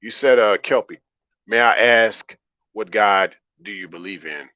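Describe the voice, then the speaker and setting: A man with a low baritone hosts a livestream in a calm, relaxed way. He talks conversationally to his chat and repeats phrases to drive them home. The sound is slightly muffled and lo-fi. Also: a little slow. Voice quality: deep baritone. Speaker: livestream host. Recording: roomy, slightly dull lo-fi audio.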